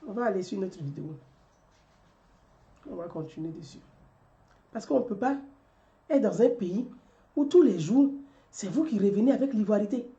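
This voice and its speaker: A woman's voice: on the high side, highs cut off. A woman, delivering a rhetorical political commentary and repeating her questions. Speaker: commentary vlogger.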